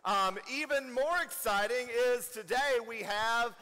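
A pastor welcoming the congregation and making friendly, relaxed announcements in a large church sanctuary, talking into a handheld mic. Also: male voice, extremely high pitch, measured pace. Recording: long hall reverb.

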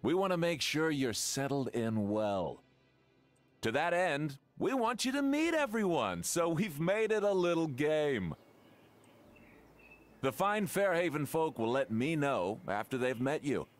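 A self-important small-town mayor in a cartoony video game. He is effusive, pitched a little high, and swings wildly up and down as he takes his time. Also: big pitch swings, unhurried. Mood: effusive, self-satisfied. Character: eager, pompous small-town mayor in a blue suit. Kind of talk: video game character acting.

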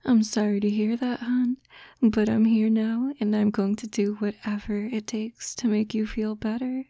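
A woman voicing a doting, teasing fox-girl girlfriend in a soft, breathy, coaxing ASMR roleplay, close to the microphone. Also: mid-range voice, level tone, no room sound, natural voice. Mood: tender, concerned. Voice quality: soft, breathy, often whispering. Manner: slow, coaxing, cooing. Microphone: close, dry microphone.

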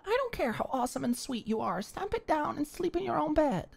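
A young woman in an ASMR roleplay, talking shyly and stammering as a flustered neighbor, sometimes dropping to a soft whisper. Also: high voice, sing-song, brisk, creaky. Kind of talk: ASMR roleplay, one-sided dialogue.

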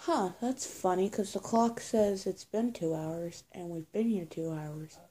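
A young woman improvises one side of a roleplay scene. Her voice is husky and on the dark side, slightly muffled by a scarf, and she takes her time with unhurried delivery. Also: fairly low pitch. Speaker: amateur roleplay video creator. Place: small plain indoor room. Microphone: phone or webcam at arm's length.

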